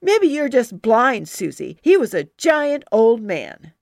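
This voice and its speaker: A woman's voice: on the high side, extremely slow, vocal fry, no room sound, studio quality, pitched above the performer's own voice, full character voice. Woman doing a feisty little girl. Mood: spirited. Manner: excited and emphatic. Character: bold young girl storyteller, defiant.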